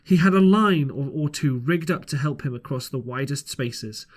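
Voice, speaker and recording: A man's voice: mid-range voice, normal speed. A man narrating an old adventure story as an amateur audiobook reader, sometimes stumbling and rereading a phrase.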